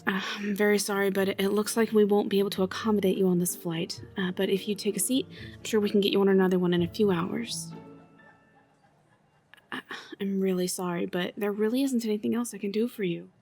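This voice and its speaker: Airline desk agent played by a woman: harried, polite, apologetic, mid-pitched voice, faint background bed. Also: fairly even intonation, fairly quick, a little mellow, soft background music, natural voice. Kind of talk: audio roleplay acting.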